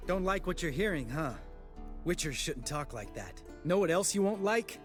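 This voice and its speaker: An actor playing a cynical, abrasive warrior in a fantasy game, sarcastic and brash. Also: male voice, on the high side, expressive, normal speed, faint music behind, close to an everyday voice. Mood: mocking. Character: cynical, abrasive younger male witcher warrior.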